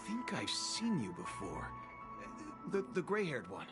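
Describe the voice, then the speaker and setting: A man playing a stern security investigator in a video game, formal and halting. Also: mid-range voice, huge pitch swings. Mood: stern, suspicious. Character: stern, deliberate male security investigator on guard. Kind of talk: scripted video game dialogue. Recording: loud game music behind voice.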